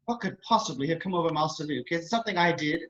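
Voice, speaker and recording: A man's voice: on the high side, expressive, quick pace, a bit bright. A man voicing a fussy, anxious, polite protocol droid with prim, formal diction in an online table read. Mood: fretful. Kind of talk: amateur table read over video call. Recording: muffled video-call audio.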